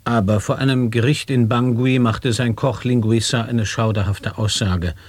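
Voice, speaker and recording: A man reads dense instructions in a solemn, even tone. The recording is close and dry in a radio studio, with a mid-range voice. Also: medium pitch, moderate pace, no room sound, close to an everyday voice. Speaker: voice in a sound-poetry radio play. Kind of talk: 1980s radio play recitation.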